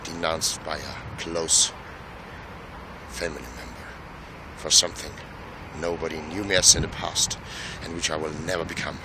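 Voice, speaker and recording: An Austrian man, a native German speaker, delivering a solemn, grandiose, halting monologue with emphatic repetition, outdoors on a city square. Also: very deep voice, flat delivery, noisy background. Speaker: speaker in a self-made video statement. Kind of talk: impassioned declamatory monologue.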